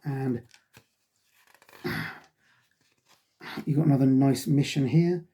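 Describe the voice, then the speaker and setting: Husky-voiced man, losing his voice. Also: mid-range voice, slow pace, mellow. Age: middle-aged. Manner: rambling, frequent sighs and ums. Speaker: tabletop wargaming magazine reviewer. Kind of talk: casual review.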